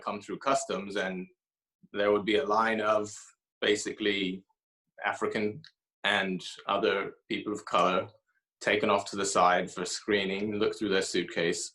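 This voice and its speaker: A man, an author being interviewed, answering in a reflective way with frequent pauses and restarts; he grew up in Haiti and Ethiopia. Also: fairly low pitch, a bit bright, low-quality recording.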